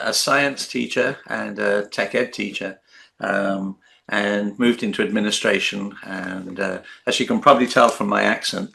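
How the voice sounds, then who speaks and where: Gravelly older man, bright voice. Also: lowish voice. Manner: rambling, joking, many fillers. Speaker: retired teacher, trainer. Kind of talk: self-introduction on a video call.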